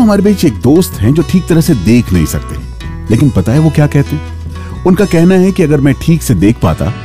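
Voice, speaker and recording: A man's voice: mid-range voice, dark tone. A man hosting a radio show, reading a poetic scripted introduction addressed directly to listeners. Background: plucked guitar music.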